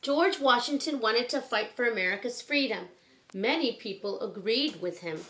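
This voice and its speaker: Higher-voiced woman reading to children. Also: expressive, normal speed, bright, forceful tone, slight background noise, rough recording. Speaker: children's book reader. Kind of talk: read-aloud of a children's picture book.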